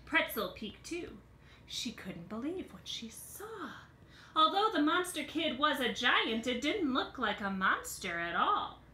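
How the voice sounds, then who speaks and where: A woman in her thirties reading a picture book aloud to young children in an expressive, exaggerated storytelling way, recorded on a phone in a room at home. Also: high-pitched, measured pace, roomy sound.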